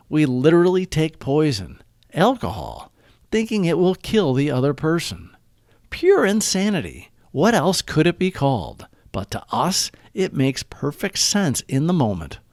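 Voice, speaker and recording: A man narrates a recovery meditation from a script. His pitch moves in lively swings while his phrasing stays deliberate and measured. The sound is dry and close, with little room around it. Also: no room sound. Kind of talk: scripted read-aloud of daily meditation and reflection.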